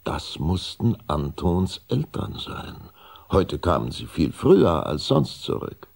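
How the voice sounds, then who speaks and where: A man narrates a children's radio play in a very low, husky voice with plenty of gravel. He tells it slowly and dramatically. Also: very animated, a little mellow. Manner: dramatic storytelling. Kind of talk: scripted children's story narration.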